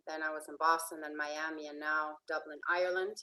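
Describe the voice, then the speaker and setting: A woman life coach from Finland giving a casual slideshow presentation, hesitant with many fillers and restarts, often trailing off softly. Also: deep voice, flat delivery, fairly quick, poor audio. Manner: trails off softly at phrase ends.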